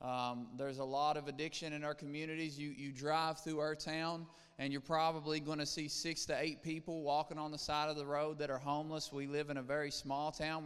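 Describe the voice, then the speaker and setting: Earnest preacher, clear voice in reverberant church. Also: male voice, on the high side, a bit bright. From Eastern Kentucky. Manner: earnest, plainspoken, with frequent fillers. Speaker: ministry leader. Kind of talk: preaching testimony talk. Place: church sanctuary. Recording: long reverb of a church hall.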